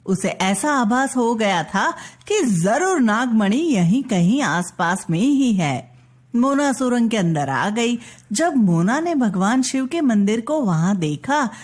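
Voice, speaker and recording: Female narrator for children's bedtime stories: higher-pitched, slow, scripted storytelling delivery.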